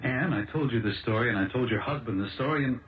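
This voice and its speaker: An actor playing a bitter, despairing jailed former lover in a 1970s radio drama, with a dejected, dramatic delivery. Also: male voice, medium pitch, fast, natural voice. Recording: dull old radio broadcast with music.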